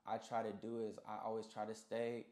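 A young man with a fairly low voice is being interviewed in a roomy, echoing studio. He talks casually, full of slang, often checking that the listener follows. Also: completely flat delivery, fairly quick, darkish tone. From Hawaii. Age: young adult. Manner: slangy, peppered with "you know what I mean". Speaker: interview guest, musician. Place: small recording studio room.

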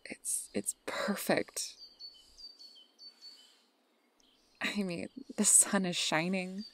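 A woman acts out an obsessive fey woman who dotes on her lover. Her voice is on the low side and full of creak. She takes her time, speaking close and intimately in ASMR style, often slipping toward a breathy whisper. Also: full character voice. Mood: dreamy, doting. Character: obsessive, sweet-talking fey woman posing as a devoted lover. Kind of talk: ASMR roleplay, close personal attention.

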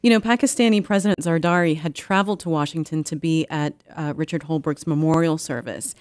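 A woman in her forties hosting a radio interview, measured in delivery, close on a studio microphone. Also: deep voice, a little mellow, no room sound. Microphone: studio microphone on boom arm, close.

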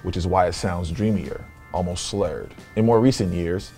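A man's voice: low-pitched, moderate pace, dark tone, soft background music. A young American man hosting with scripted educational narration. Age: young adult. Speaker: host. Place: small home-style music studio indoors.

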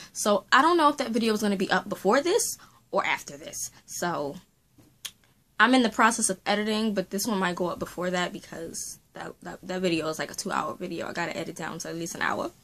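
A woman's voice: fairly low pitch, fairly bright tone. A woman in her late twenties, a faith and beauty vlogger, talking casually to camera from a small room at home, rambling and gesturing, her throat sore after being sick. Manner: rambling, talks with her hands. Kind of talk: casual chatty vlog to camera.

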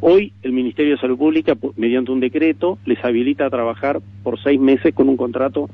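A tinny, narrow radio signal carries a Uruguayan man's mid-pitched voice. He explains his points as an interviewee, deliberately, repeating words for emphasis. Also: steady tone, husky. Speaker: political interviewee.

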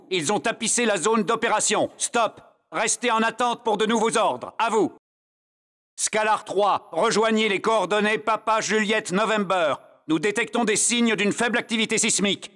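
A man's voice: sits high, a bit bright, big-room sound. An actor voicing a composed male military dispatcher in a video game, formal and procedural over the radio. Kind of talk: scripted military radio chatter.

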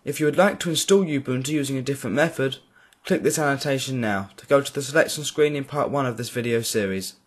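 A man giving a clear step-by-step voice-over for a software tutorial. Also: mid-range voice, fast talker, hardly any pauses. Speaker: tutorial creator. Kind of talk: screen-recorded software tutorial.

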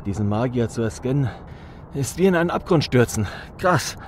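A man's voice: mid-range voice, expressive, moderate pace, natural voice. A man playing a wary, grumbling, sardonic mage shadowrunner in a fan audio drama. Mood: alarmed, awed. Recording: constant vehicle and street noise.

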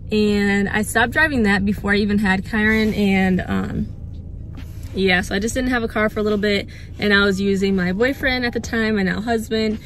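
Brief: woman in her 20s, casual car vlog, earnest rambling chat, mid pitch, phone mic in a parked car. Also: slight background noise. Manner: chatty, earnest, many fillers and repeats. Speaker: vlogger. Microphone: phone held at arm's length or mounted on dashboard.

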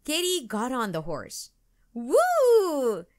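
Bright-voiced woman reading to children. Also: mid-range voice, very animated, measured pace. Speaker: storytime channel narrator. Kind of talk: children's book read-aloud.

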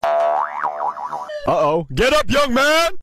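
A man doing exaggerated, slangy joking sports voice-over commentary, shouting catchphrases. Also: mid-range voice, huge pitch swings, drawn-out words, no room sound, clean studio sound. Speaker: comedic sports voice-over creator. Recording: noisy, clicky phone clip compilation.

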